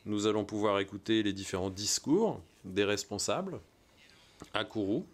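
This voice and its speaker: Man, American, low voice, excited live launch commentary, hesitant. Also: moderate pace. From United States. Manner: enthusiastic, conversational, many hesitations.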